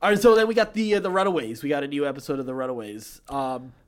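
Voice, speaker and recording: A man, an animated and opinionated podcast co-host chatting casually as he recaps TV shows. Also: fairly high pitch, fast, clear, resonant tone.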